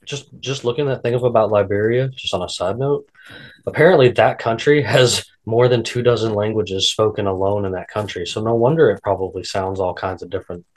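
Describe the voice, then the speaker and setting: Rambling lower-voiced man co-hosting. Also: fairly quick, rough recording. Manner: rambling, repeats words as he thinks. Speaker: podcast co-host. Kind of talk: casual chat.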